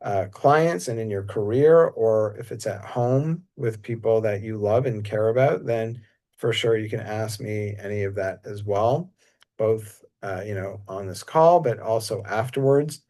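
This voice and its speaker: A man teaching massage, speaking casually in a relaxed, conversational way. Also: fairly low pitch, a little slow. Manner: relaxed and conversational, with frequent fillers. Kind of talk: casual talk.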